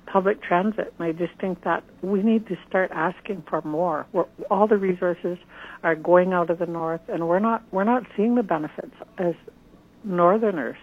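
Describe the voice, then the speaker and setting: A woman's voice: lowish voice, normal speed, slightly rough. A middle-aged woman from northern Canada, running for office and answering interview questions over the phone in an earnest, plain-spoken way, often restarting her sentences. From northern British Columbia, Canada. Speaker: political candidate. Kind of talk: radio interview. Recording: tinny phone line.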